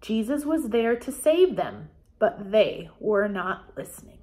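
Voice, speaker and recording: An American woman in her forties leading a children's Bible storytime as pastor, speaking in simple, deliberate phrases meant for young children. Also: medium pitch, sing-song, warm tone. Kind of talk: children's storytime, reading and explaining. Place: small office, indoors.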